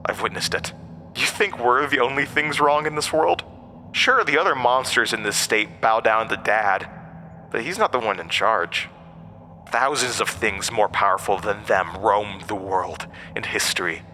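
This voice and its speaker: An actor playing an ancient monster, a persuasive, scornful tempter who speaks with theatrical, deliberate emphasis in a horror audio drama. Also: male voice, lowish voice, pushed and bright, a little hiss or hum. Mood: scornful, grand.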